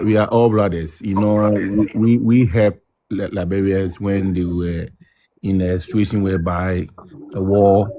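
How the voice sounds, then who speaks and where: A man speaks as a guest in an online chat, his voice on the low side. He is earnest, circling back over the same points. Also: dark tone. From Ghana. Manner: earnest, repetitive, calling his listener "Charlie".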